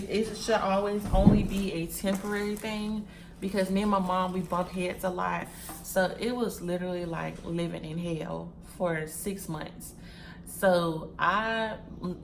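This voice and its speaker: A woman's voice: on the low side, steady tone, unhurried, gravelly, a little hiss or hum. A woman in her twenties chatting casually to her viewers, repeating phrases and calling them "y'all" and "sis" while she packs wigs. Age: 20s. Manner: packing wigs while talking. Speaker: vlogger.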